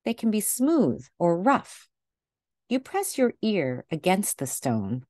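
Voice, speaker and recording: A woman reading a picture book aloud to children in a gentle, deliberate way, recorded indoors over a video call. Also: mid-range voice, big pitch swings, no room sound, studio quality. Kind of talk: read-aloud storytelling for children.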